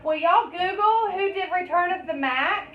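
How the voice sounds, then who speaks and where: A woman's voice: very high voice, moderate pace. Chatty woman stylist, tinny echoey phone audio. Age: 30s. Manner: chatty, explains step by step while working. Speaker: hairstylist. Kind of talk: hair-cutting tutorial. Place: hair salon. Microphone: phone camera. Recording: echoey room, tinny phone-like sound, faint noise.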